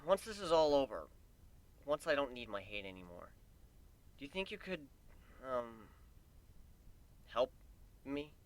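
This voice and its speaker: A man's voice: medium pitch, very animated, a little slow, long pauses. A man voicing an angry, weary male griffin with an intense, emphatic delivery in a dramatic story reading. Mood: tired, hesitant. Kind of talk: dramatic fan fiction reading.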